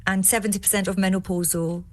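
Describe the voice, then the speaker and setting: A woman's voice: medium pitch, steady tone, quick pace. A woman with an Austrian German accent, a guest in an interview, explaining things in a keen, informative way. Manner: enthusiastic, explanatory, fond of "brilliant". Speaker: guest, founder of a support organisation.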